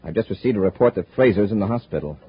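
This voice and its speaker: Husky, brisk space commander. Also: male voice, lowish voice, lively intonation. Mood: grave, urgent. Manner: brisk, authoritative. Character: stern, decisive male space patrol commander. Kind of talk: 1950s radio adventure acting. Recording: muffled 1950s radio recording.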